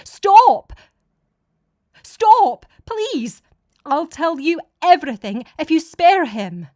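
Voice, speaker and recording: A woman's voice: sits high, big pitch swings, very slow, a little mellow, dry recording, clean studio sound, pitched above the performer's own voice, exaggerated voice. A woman voicing a frightened time traveller in an audiobook, nervous and pleading. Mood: desperate pleading. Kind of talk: dramatic audiobook character voice.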